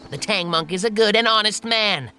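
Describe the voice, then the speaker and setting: A man's voice: sits high, normal speed, sharp tone, faint music behind. A man voicing a brash, hot-tempered monkey hero in an audio storybook, with an emphatic delivery. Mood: indignant. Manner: emphatic, indignant. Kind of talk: audio storybook character acting.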